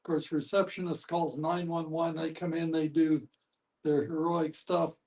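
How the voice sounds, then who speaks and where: Male discussion participant, slightly high voice, casual storytelling with halting delivery. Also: little pitch movement, darkish tone. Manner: halting, pausing to find words. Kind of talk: casual anecdotal talk.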